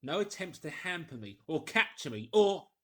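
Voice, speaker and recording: Wary invisible fugitive man for a storybook, voiced by a male reader: commanding, insistent, husky, high-set. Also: big pitch swings, normal speed, a bit bright. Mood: warning, indignant. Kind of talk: dramatised audiobook character voice.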